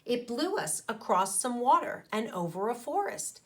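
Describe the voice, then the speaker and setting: A woman with a fairly high voice reads a storybook aloud to children as their teacher. Her pitch swings widely and expressively, and she steps out of the story to explain as she goes. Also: very animated, moderate pace, a bit bright. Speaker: teacher reading aloud to a class. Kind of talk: animated storybook read-aloud with asides to children.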